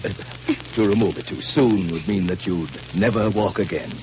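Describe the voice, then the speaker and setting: An actor playing a sinister, controlling father in a 1930s radio drama, with a hushed, coaxing manner. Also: sits low, moderate pace, over music, natural voice. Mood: falsely soothing. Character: sinister, controlling father, falsely soothing, middle-aged. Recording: noisy, muffled 1930s radio recording.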